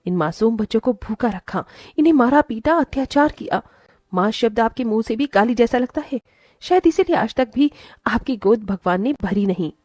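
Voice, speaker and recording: A woman's voice: a little high, expressive, normal speed, darkish tone, dry recording, highs cut off. A woman voicing a loving, protective aunt in a dramatised moral story, earnest, emphatic and emotional. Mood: righteous, firm. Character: loving, protective young aunt, firm and motherly.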